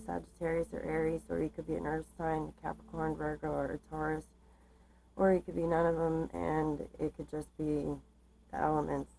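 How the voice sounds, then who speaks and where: Low, flat-voiced woman with a tiring, creaky voice. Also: a little hiss or hum, poor audio. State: slightly losing her voice. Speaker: tarot card reader. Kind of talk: tarot reading, part read aloud from cards, part informal explanation.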